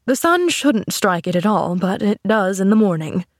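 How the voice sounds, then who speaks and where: A gruff, weary older gentleman from a storybook, voiced by a woman reader. He speaks in a measured, gently commanding way with wry kindness. The recording is close and dry. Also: medium pitch, very animated, no echo. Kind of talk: storybook character acting.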